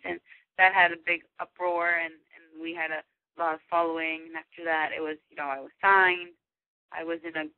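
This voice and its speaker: A woman's voice: lowish voice, dry recording. Over a thin, tinny phone line comes a young woman. She talks loosely, circling back with "you know" and false starts. The line is dry, with no room around her voice. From Mexico. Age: 20s. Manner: rambling, many restarts and fillers. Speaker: radio show guest, singer. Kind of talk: phone-in radio interview.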